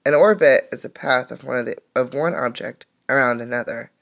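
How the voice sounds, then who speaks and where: A woman's voice: extremely low pitch, creaky. A woman reading a children's science book aloud like a teacher, explaining things as she goes. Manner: explanatory, pausing to comment and ask questions.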